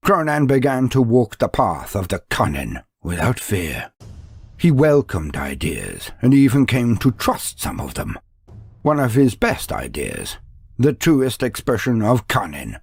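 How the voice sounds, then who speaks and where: Gravelly, animated male storyteller. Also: on the low side, no room sound. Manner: theatrical, grandiose with wry asides. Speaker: narrator of a fantasy lore video. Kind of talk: dramatic scripted storytelling narration. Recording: music under the voice. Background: background music.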